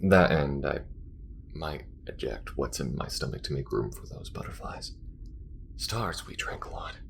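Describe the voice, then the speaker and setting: A man playing an alien smuggler in an intimate audio roleplay, tender, wry and worried, speaking in a soft, halting, breathy murmur. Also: expressive, a little hiss or hum, full character voice. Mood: wry, awkward. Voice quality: very deep, gravelly, breathy murmur. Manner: halting, soft-spoken, often dropping to a whisper.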